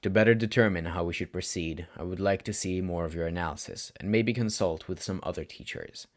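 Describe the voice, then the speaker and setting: A low male voice for a stern, weary teacher in an audiobook story, put on by a male narrator. He sounds gruff and cold on the surface, yet caring underneath, and keeps his delivery flat and restrained. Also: brisk. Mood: businesslike.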